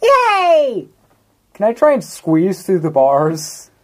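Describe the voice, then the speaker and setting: A woman's voice: sits low, lively intonation, a little slow, warm tone. A woman talking casually as a player in a tabletop game, explaining her moves.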